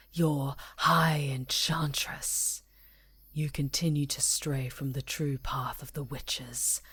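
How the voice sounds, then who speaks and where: A woman playing a proud, solemn female werewolf chieftain in an ASMR roleplay, speaking gravely and formally in a husky, breathy voice. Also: sits low, dry recording, clean studio sound, exaggerated voice. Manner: slow, grave, formal pronouncements.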